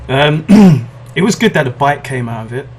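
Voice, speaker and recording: A man's voice: medium pitch, lively intonation, normal speed, a little mellow, husky. A man co-hosting a podcast, chatting casually in a hesitant, agreeable way. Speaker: podcast co-host. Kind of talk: casual chat about comic book movies.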